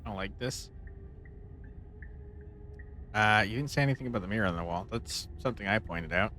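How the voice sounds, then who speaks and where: A man's voice: fairly low pitch, a little fast, bright, forceful tone, slight background noise. A man doing casual let's play commentary, reacting and reading game choices aloud. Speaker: game streamer. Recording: game music behind the voice.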